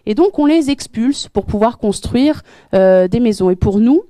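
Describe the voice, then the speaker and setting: A woman's voice: on the high side, expressive, normal speed, dark tone, no echo, clean studio sound. A woman giving an explanatory talk with slides as a guest speaker, earnest and full of hesitations and restarts. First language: French. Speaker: guest speaker at a public debate.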